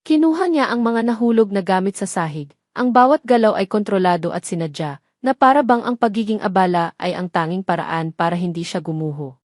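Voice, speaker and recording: A woman's voice: mid-range voice, brisk, a little mellow, no room sound. Solemn woman narrator, very dry sound. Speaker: story narrator. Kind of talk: dramatic scripted romance narration in audio-drama manner.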